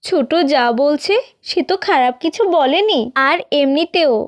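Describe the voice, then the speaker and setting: A woman voicing a selfless, dutiful young elder sister in a cartoon, pleading and soft-hearted. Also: high voice, expressive, normal speed, no echo. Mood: tender, pleading. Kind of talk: Bengali cartoon fairy-tale acting.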